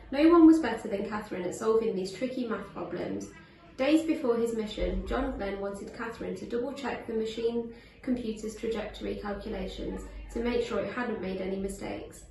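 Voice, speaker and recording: A woman's voice: on the high side, gravelly, darkish tone. A woman reading a storybook aloud, as a school staff member would to listeners. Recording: echoey, distant mic, faint noise.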